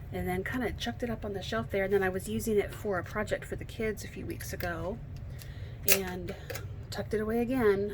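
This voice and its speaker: Woman, slightly froggy, chatty and self-deprecating, craft tutorial, home desk, faint room hum. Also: mid-range voice. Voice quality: slightly froggy, hoarse from allergies. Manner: chatty, self-deprecating, thinks aloud while working. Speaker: card-making demonstrator. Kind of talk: casual craft tutorial chat. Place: home craft table, indoors.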